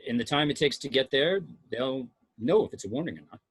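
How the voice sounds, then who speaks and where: A man's voice: mid-range voice, animated, brisk, breathy edge. A man playing a coolly dismissive spaceship science officer in an amateur table read, matter-of-fact and unemotional.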